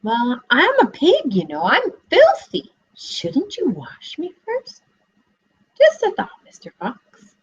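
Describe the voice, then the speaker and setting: Woman doing a shrill squealing piglet. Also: huge pitch swings, slow, full character voice. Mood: sly. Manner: high, shrill and pleading. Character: frightened squealing little male piglet. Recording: dull lo-fi webcam audio.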